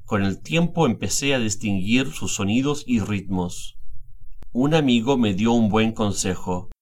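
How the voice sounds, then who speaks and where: A middle-aged man from Chile with a Chilean Spanish accent, calmly reading a scripted language lesson. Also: fairly low pitch, measured pace, a little hiss or hum. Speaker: language teacher, guest speaker. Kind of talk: scripted instructional narration.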